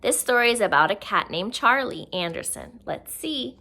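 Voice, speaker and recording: A woman's voice: on the high side, animated, moderate pace, sharp tone. A woman reading a picture book aloud to young children, expressively and with simple explaining asides. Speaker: children's story reader.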